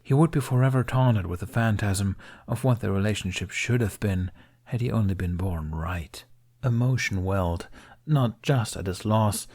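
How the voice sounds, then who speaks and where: A man's voice: fairly low pitch, darkish tone. A man reading a story aloud as an audiobook narrator, in a scripted, dramatic storytelling style.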